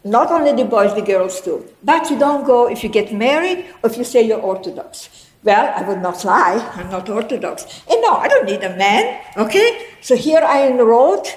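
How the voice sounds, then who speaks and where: A woman in her eighties whose first language is German, telling her life story to an audience in a rambling, lively way with many restarts. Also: fairly high pitch, moderate pace, roomy sound, poor audio. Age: 80s. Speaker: guest speaker.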